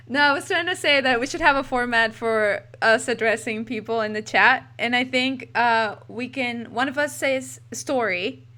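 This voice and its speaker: A woman's voice: a little high, bright, forceful tone. A woman with a Texan accent co-hosting a podcast in casual chat, giggly and chatty, often trailing off mid-sentence. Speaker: podcast co-host. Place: home apartment.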